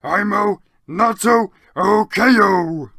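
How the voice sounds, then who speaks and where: Brooding male goth wizard, husky, raised pitch, forceful, angry, melodramatic storybook declaiming. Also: drawn-out words, dry recording, clean studio sound, full character voice. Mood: bombastic.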